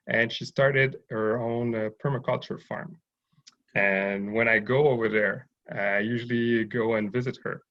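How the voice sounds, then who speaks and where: Man, gravelly fry, measured and hesitant, muffled call audio. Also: lowish voice, sharp tone. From Haiti, raised in Montreal. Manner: thoughtful, hesitant with many fillers. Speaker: guest, urban farm owner. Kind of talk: interview over video call.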